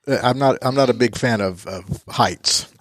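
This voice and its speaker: A man hosts a local business radio show in a loose, joking way. He restarts sentences often and drifts off topic. His voice is middle-pitched and dry, with no room around it. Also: a little slow, no room sound. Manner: rambling, joking, many restarts. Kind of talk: casual radio interview.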